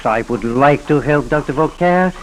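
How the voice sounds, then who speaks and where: An actor playing a courteous, skeptical American professor with a scholarly, questioning manner in a 1940s radio drama. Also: male voice, fairly high pitch, moderate pace, close to an everyday voice. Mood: musing, puzzled. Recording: noisy 1940s radio broadcast recording.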